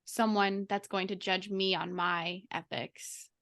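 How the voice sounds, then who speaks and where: A woman of average pitch talks casually as a podcast co-host. She reasons her way through points reflectively, often seeking agreement as she goes. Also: fairly even intonation, takes it slowly, a bit bright. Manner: reflective, reasoning aloud, checks with "right?".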